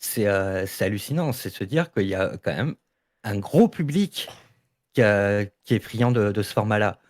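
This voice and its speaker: A man in a casual debate, arguing his opinions haltingly and repeating words as he goes. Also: lowish voice, lively intonation, darkish tone. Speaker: audio fiction debate participant.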